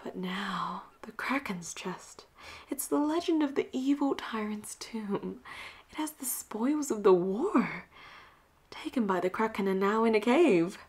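Woman acting a flirty, breathy female pirate captain. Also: medium pitch, animated, measured pace, a little mellow. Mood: confiding. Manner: flirtatious, teasing, breathy drops into whispers. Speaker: voice actor in audio roleplay. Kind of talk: intimate audio roleplay acting.

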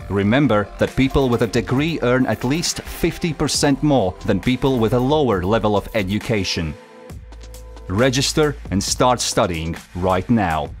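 A man narrating a promotional voice-over for a university faculty, formal and measured. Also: mid-range voice, animated, faint music behind.

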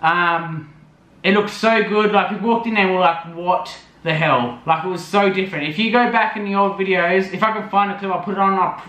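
Young guy, vlog voice-over, high and bright, rambling, roomy echo. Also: brisk. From Australia. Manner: rambling, lots of fillers. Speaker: vlogger. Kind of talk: casual voice-over recap.

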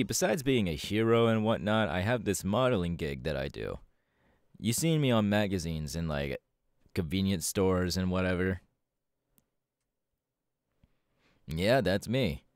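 Casting: male actor for cocky, easygoing young winged hero, low register, very animated intonation, slangy and hesitant, audio roleplay. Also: no echo, clean studio sound. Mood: casual, sheepish. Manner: casual, hesitant pauses, slangy. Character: laid-back, cocky young male winged superhero and model. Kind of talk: ASMR audio roleplay, casual conversational acting.

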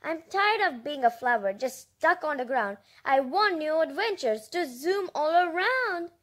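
A woman's voice: high voice, big pitch swings, a little slow, a bit bright, exaggerated voice. A woman voicing a tiny female storybook flower, wistful and pleading, longing to fly. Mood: discontented.

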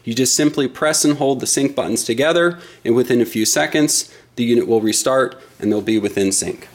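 A middle-aged man giving an instructional product demonstration in a deliberate, explanatory way. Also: medium pitch. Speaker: product presenter.

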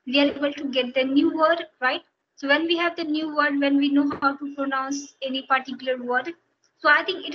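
A woman from Bihar with an Indian accent and native Hindi, explaining steadily as an English-teacher guest on a video call. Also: sits high. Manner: steady, explanatory, repeats phrases while thinking. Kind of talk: casual interview on a video call. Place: small room indoors. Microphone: headphones on a video call. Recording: dull lo-fi video call audio with faint noise.

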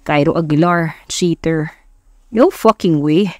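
A woman's voice: sits low, slow, darkish tone, no echo, pitched below the performer's own voice. Woman voicing a smug young rich husband, cool and flippant. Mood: curt, firm. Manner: flippant, mixes English into Tagalog.

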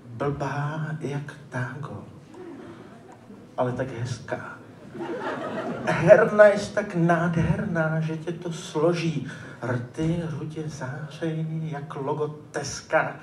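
High-voiced man performing slam poetry, roomy recording. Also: a crowd in the background. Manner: theatrical, colloquial delivery with dramatic swings. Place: live poetry slam event before an audience. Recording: roomy, noisy, distant live stage recording.

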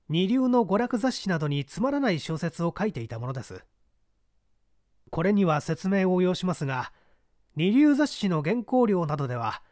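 Male audiobook narrator, young, slightly high-pitched, very expressive intonation, deliberate storytelling. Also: no echo, studio quality. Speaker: audiobook reader. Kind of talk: scripted literary narration of a mystery story.

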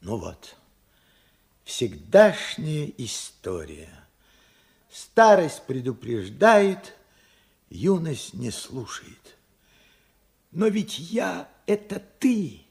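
Old war veteran in a radio drama, really raspy n creaky, weary, urgent, regretful. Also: male voice, on the high side, sing-song, drawn-out words, pauses often, full character voice. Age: older. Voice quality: hoarse, husky, cracked. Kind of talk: Soviet radio play acting.

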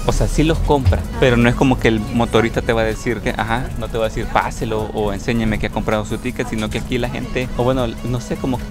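A man's voice: medium pitch, fairly even intonation, quick pace, hardly any pauses. A young man from Latin America, chatting to viewers while filming himself at arm's length. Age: young adult. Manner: chatty, addresses viewers directly. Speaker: travel vlogger. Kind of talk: casual travel vlog narration. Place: outdoors in snowy streets and on a bus. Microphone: handheld camera at arm's length. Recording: background music under voice.

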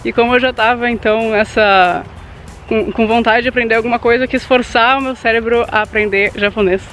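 A young woman of eighteen talks in an easy, unhurried way, stopping now and then to find her words. She is outdoors, picked up by a nearby camera mic, with music running under her voice. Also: on the high side. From Brazil. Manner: relaxed, thinks aloud with pauses. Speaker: vlog co-host. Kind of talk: casual chat. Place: outdoors in an open grassy field. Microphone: camera mic at arm's length.

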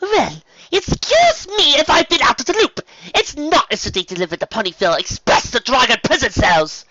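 A man's voice: extremely high pitch, expressive, moderate pace, dull audio, background noise, voice pushed up. A man voicing a prissy, overprotective female unicorn in a storybook reading, melodramatic and easily distressed. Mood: indignant, strained. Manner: melodramatic, stammering when upset.

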